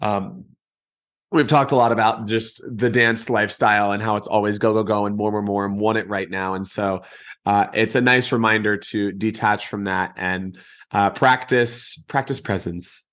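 A man's voice: on the low side, fairly even intonation, moderate pace, fairly bright tone. Young guy podcast co-host, easygoing and jokey, self-deprecating, chats loosely. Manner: laid-back, laughing, self-deprecating. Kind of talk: casual chat.

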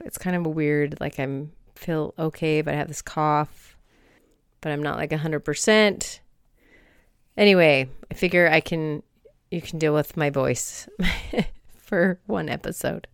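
A woman in her forties hosting her own podcast, chatting in a rambling, friendly way with soft laughs, a little hoarse from a cold. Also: lowish voice, no room sound, studio quality. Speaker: podcast host. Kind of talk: casual solo podcast chat.

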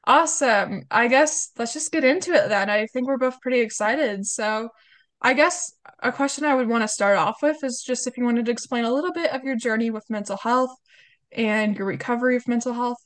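A young woman with a cold hosts a casual podcast chat. Her voice sits a bit high. She is upbeat and chatty, quick to apologize. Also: fairly bright tone. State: has a cold. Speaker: podcast host.

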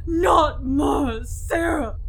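A man's voice: extremely high pitch, very slow, creaky, voice pushed up, heavily acted, low-quality recording. Woman acting out a frantic father crying out in anguish. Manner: crying out, shouting. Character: frantic, anguished father wailing for his daughter.

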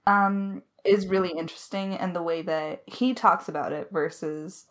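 A woman's voice: fairly low pitch, normal speed, fairly bright tone. A woman chatting casually as a podcast co-host, giggly, effusive and slangy. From Alberta, Canada. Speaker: podcast co-host, audio drama creator. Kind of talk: casual chat, answering listener questions.

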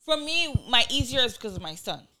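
A woman's voice: high-pitched, brisk, crowd noise. A young woman with a Nigerian accent, chatting casually on a podcast with lots of slang and swearing. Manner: animated, swearing, slangy, jumps between loud and hushed. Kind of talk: casual chat.

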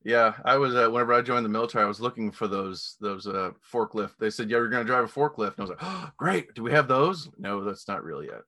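Bright-voiced easygoing male host. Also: fairly low pitch, fast, hardly any pauses. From Missouri. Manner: relaxed, easygoing, good-humored. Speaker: podcast host. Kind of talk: casual interview chat.

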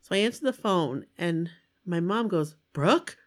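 A hoarse, congested woman hosts a casual podcast, telling stories in a chatty way and laughing often. Her pitch swings widely as she talks. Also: lively intonation, measured pace. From Maine. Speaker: podcast co-host. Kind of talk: casual chat.

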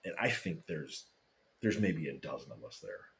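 Low male storyteller, casual and profane, roomy podcast mic. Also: normal speed, vocal fry, slight background noise. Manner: animated, swearing, acts out quotes. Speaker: podcast guest telling a fan story. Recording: roomy echo.

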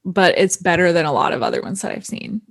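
A woman with a lowish voice, recorded dry and close. She chats casually about films, hedging with fillers and giggling between thoughts. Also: lively intonation, quick pace, creaky, dry recording. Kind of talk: casual film discussion.

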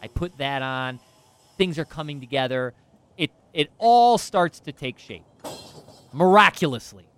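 A man's voice: on the high side, expressive, a little slow, fairly bright tone, clean tone. A man in finance telling a self-deprecating story in casual chat, with lots of restarts and asides. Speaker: finance professional.

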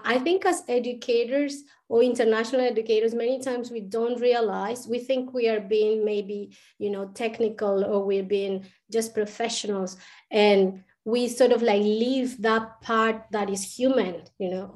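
A woman's voice: a little high, a little mellow. A woman from Colombia whose first language is Spanish, talking as a podcast guest in a thoughtful way, with many restarts and fillers. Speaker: podcast guest, international educator. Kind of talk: casual interview talk.

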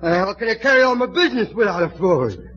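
An actor playing a tipsy, slow-witted, stubborn drunk with slurred, drawling speech in 1940s radio comedy. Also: male voice, high voice, sing-song, a bit bright, breathy edge, exaggerated voice. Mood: befuddled, insistent. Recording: dull noisy 1940s radio broadcast recording.

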